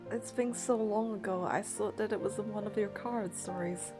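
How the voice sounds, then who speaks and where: A woman's voice: medium pitch, steady tone, moderate pace, music in the background. A woman reading game narration and dialogue aloud, with casual commentary of her own.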